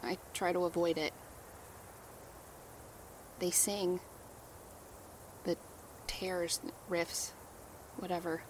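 A woman's voice: on the low side, little pitch movement, drawn-out words, long pauses, slight background noise. An actress playing Claire, a young woman who crosses between worlds and tells of them with urgency. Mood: haunted. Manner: urgent, explaining, losing memory. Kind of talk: horror audio drama.

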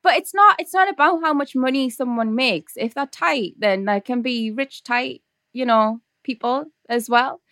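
Frank Geordie woman, higher voice. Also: moderate pace, dry recording, studio quality. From North East England. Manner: frank, laughing, expressive. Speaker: podcast guest. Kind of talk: casual chat.

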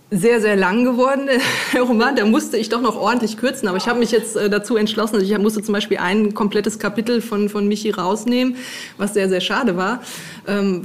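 A woman with a medium-pitched voice chats as a podcast guest. She speaks casually and hesitantly, feeling her way to the right words. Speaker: podcast guest, fiction editor. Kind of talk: casual interview chat.

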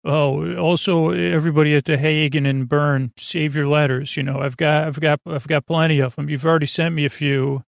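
A man hosting a sleep podcast in a rambling, halting, self-deprecating bedtime monologue full of tangents, with a creaky voice close to the mic. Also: flat delivery, dry recording. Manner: self-deprecating, low-key.

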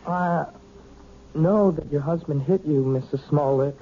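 A man's voice: a little high, measured pace, a little hiss or hum. An actor playing an earnest, awkward young man, a piano student who stammers, in a 1970s radio drama. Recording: dull old radio broadcast.

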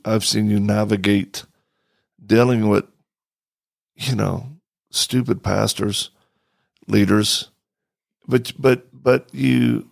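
A man's voice: fairly low pitch, steady tone, takes it slowly, gravelly, long pauses, no room sound. A man hosting a podcast in a studio, chatting casually with a guest in a joking, blunt and direct way. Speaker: podcast host. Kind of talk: casual interview chat.

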